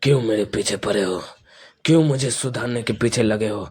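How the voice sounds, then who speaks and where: A man's voice: fairly low pitch, moderate pace, darkish tone, husky. A man voicing a brooding, intense young male ninja rival in an anime fan dub, solemn and confessional. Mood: anguished.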